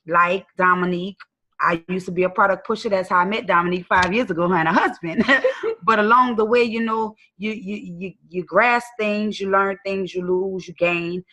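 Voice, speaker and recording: A woman calling in from bed at home, giving a lively, folksy testimonial over the phone. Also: medium pitch, moderate pace. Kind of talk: casual testimonial. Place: in bed in a dark room at home.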